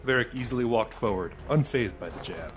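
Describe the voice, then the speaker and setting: A man's voice: mid-range voice, lively intonation, fairly quick, slightly rough, faint music behind. A man reading scripted fantasy fiction as the narrator of an audio drama.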